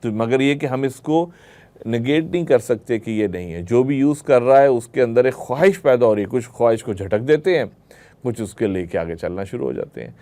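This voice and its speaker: A man's voice: medium pitch, expressive, a little fast, warm tone. A man giving a religious lecture to a group, explaining through rhetorical questions and everyday examples. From Pakistan.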